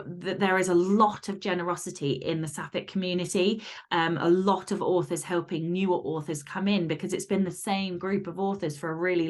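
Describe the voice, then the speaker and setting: A woman chatting casually as an interview guest, candid and blunt, swearing freely. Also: fairly low pitch. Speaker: podcast guest and fantasy romance author.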